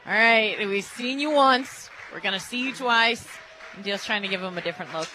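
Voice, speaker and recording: American woman, sports radio analyst, conversational delivery, mid-range pitch, bright forceful tone. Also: brisk, slight background noise, poor audio. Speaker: color commentator. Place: stadium radio booth.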